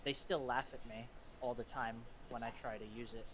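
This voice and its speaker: A man's voice: medium pitch, fairly even intonation, a little fast, noisy background. A man co-hosting a podcast, talking thoughtfully and with self-deprecation. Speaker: podcast co-host. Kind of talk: casual chat.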